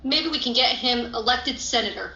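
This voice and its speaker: Woman as a brash juror, very bright, sharp. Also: a little high, moderate pace. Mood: sarcastic, mocking. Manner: sarcastic, blunt. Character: brash, sarcastic male juror. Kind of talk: scripted play reading. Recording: echoey room, dull lo-fi audio.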